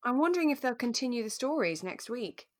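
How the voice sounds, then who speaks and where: A British woman co-hosting a podcast in relaxed, casual chat about a radio drama. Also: a little high, a little fast. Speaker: podcast co-host.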